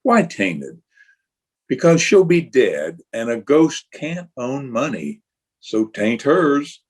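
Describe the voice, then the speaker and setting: A man playing a wry, theatrical announcer hosting an old-time radio mystery, with a husky voice. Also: medium pitch, very animated, slow pace, full character voice. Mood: gleeful, wry. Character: wry, ghoulish male radio mystery host. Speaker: announcer and host.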